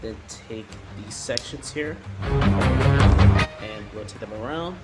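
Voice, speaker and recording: A man narrating a hands-on toy review in a halting way, often repeating his words. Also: lowish voice, lively intonation, loud music behind the voice.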